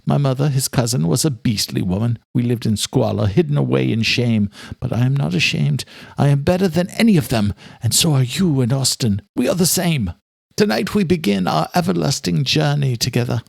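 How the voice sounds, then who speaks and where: Man playing a gravelly, grandiose, obsessive killer. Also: medium pitch, lively intonation, a little fast, no room sound, studio quality. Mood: grandiose, resentful. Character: obsessive, grandiose immortal male killer reading his love letter. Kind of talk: dramatic audiobook villain monologue.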